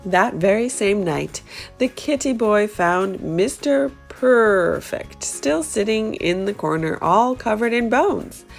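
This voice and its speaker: A woman reading a children's picture book aloud in an animated, expressive way. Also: mid-range voice, slow, gravelly, soft background music. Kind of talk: storybook read-aloud.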